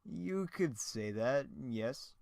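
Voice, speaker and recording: A man's voice: medium pitch, expressive, drawn-out words, gravelly, a little mellow, no room sound, full character voice. A man playing a vain, evasive, theatrical movie actor in the style of a 1940s radio drama.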